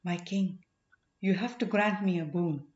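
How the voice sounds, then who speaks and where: A woman's voice: lowish voice, a little slow, a little mellow, roomy sound, dull audio, close to an everyday voice. A woman voicing a loyal, earnest male boatman in a storybook, pleading respectfully.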